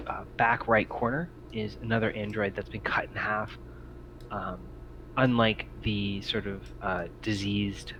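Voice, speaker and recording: Male game master, lowish voice, halting narration of a roleplaying scene, faint noise on the recording. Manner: halting, pausing often mid-sentence.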